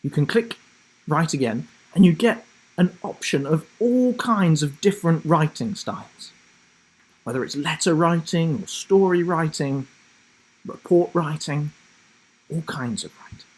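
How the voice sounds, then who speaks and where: A man chatting and reading a story to children as host of a live broadcast, speaking deliberately in short emphatic phrases. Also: a little high, expressive, a little mellow, breathy edge, low-quality recording. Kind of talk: friendly instructional chat and storybook reading for children.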